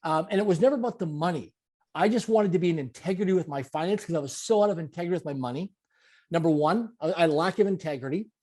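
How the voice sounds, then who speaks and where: A man's voice: on the high side, quick pace, darkish tone. A man in his late forties from Canada, a guest giving an interview, talking in emphatic, repetitive motivational phrases. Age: 40s. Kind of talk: conversational interview.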